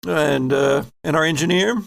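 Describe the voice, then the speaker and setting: A man's voice: fairly high pitch, vocal fry. A man playing a polite, hesitant spaceship boss who hedges his way through sentences in a tabletop game. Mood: awkward, probing. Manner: halting, hedging, mild-mannered. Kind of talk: improvised in-character dialogue in a tabletop game.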